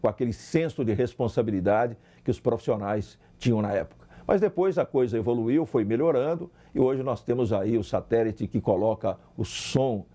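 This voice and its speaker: A man's voice: medium pitch, normal speed, hardly any pauses, mellow. A Brazilian man, a sports broadcaster, recalling past work in an interview in a reflective way with emphatic lists. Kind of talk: interview recollection.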